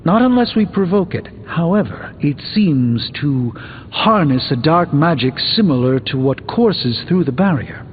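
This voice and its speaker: An actor playing a learned, composed middle-aged sorcerer in a video game, speaking with precise, formal, measured diction. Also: male voice, on the high side, phone-quality sound, slight background noise. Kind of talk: video game character dialogue. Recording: band-limited game audio, long reverb, background music.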